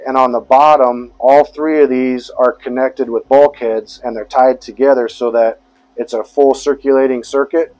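A man's voice: mid-range voice, fairly even intonation, normal speed, a little mellow, poor audio. Man explaining his basement tank setup. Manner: explanatory, matter-of-fact, detailed. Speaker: fish tank hobbyist giving a tour. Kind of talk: casual walkthrough tour. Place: basement pump room.

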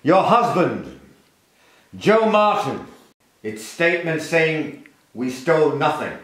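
Bullying army officer, echoing room. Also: male voice, fairly high pitch, sing-song, slow pace, fairly bright tone, exaggerated voice. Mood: angry, commanding. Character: stern, bullying military officer, commanding and menacing. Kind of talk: radio drama acting.

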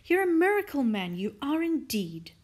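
Excitable, high-pitched doting lady acted by a woman. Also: unhurried, full character voice. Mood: delighted, gushing. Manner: exclamatory, effusive, polite. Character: excitable, gushing lady who dotes on her pet tortoise. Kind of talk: children's storybook character voice.

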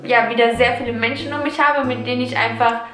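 A woman's voice: fairly high pitch, steady tone, fast, a bit bright. A young woman from Germany, in her twenties, chatting reflectively as a vlogger answering viewer questions from her sofa, sometimes searching for words. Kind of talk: casual Q&A vlog. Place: living room, seated on sofa. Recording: roomy echo with background music.

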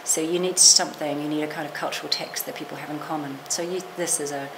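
A woman's voice: sits low, steady tone, fast talker. A middle-aged woman, a literature professor, talking thoughtfully in an interview, qualifying herself with restarts and asides. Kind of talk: reflective interview talk. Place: small lecture room, seated. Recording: noisy room recording.

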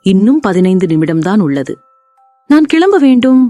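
A woman voicing an honest, gentle mother cow in a children's story, speaking earnestly and politely. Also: mid-range voice, very animated, moderate pace, dry recording, soft background music, natural voice. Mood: urgent.

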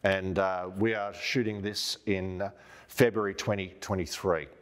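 A middle-aged Australian man with a lowish voice presents a product in an informal, chatty way. He talks as if showing someone around, often checking in as he goes. The sound rings with the long reverb of a big indoor showroom. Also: steady tone, unhurried. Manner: informal, conversational, checking in with "right?". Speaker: presenter of outdoor gear product videos. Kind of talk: casual product walkthrough. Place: large hard-walled showroom, indoors.

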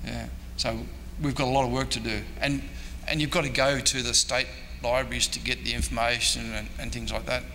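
Bright-voiced man in an echoey hall. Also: mid-range voice, level tone, brisk, hardly any pauses. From Australia. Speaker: historian and archivist giving a talk. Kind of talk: answering audience questions after a talk. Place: hotel conference room. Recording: echoey hall with faint noise.

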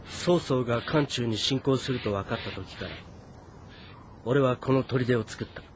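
A man's voice: mid-range voice, a little slow, slight background noise, lightly acted. A man playing an anxious, weary fortress commander of ancient China in a dramatic, earnest, brooding way. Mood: brooding, frustrated. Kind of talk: dramatic game voice acting with inner monologue.

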